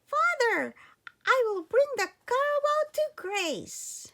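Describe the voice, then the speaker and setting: Lady doing a tiny little storybook boy, squeaky high, eager n pleading, slow. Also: very animated, pushed and bright, full character voice. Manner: earnest, pleading. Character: tiny eager seven-year-old boy, wistful and polite.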